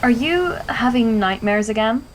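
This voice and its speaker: A woman's voice: a little high, expressive, a little slow, slight background noise, low-quality recording. A woman playing the blunt, exasperated wife of a superhero in a radio play, pointed and reproachful. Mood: dry, probing.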